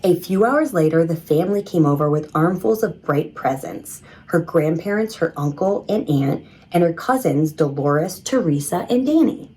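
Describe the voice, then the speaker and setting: A woman reading a children's story in an expressive, measured storytelling manner. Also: fairly low pitch, rough recording. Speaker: storybook reader. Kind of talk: scripted children's story narration.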